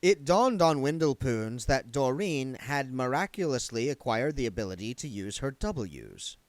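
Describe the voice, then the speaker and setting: A man's voice: medium pitch, big pitch swings, a little slow, no echo. A man reading a novel aloud as its narrator. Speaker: amateur audiobook reader.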